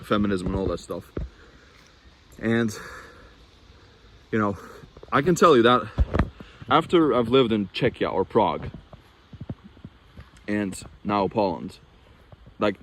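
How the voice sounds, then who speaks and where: A man's voice: fairly low pitch, expressive, unhurried, pauses often, a little hiss or hum, poor audio. A young man from Norway talking casually to camera in a vlog, rambling and chatty, with frequent restarts and fillers. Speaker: vlogger.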